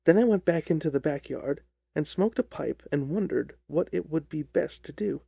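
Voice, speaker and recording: A man narrating a story as an audiobook reader, in a measured, deliberate, dramatic style. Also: on the high side, gravelly, dark tone, breathy edge, no room sound.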